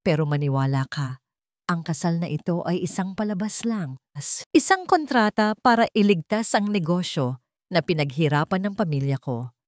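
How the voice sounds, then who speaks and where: A woman storyteller plays a troubled businessman in an audio drama, a husband and pleading lover. The voice is fairly low and dramatic, with earnest, controlled delivery. The sound is close and dry. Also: a little mellow, no echo.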